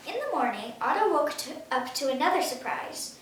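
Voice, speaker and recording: A woman's voice: high voice, big pitch swings, brisk. A ten-year-old girl reading a children's storybook aloud. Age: child, ten years old. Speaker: story reader. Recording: very echoey room, distant mic, faint noise.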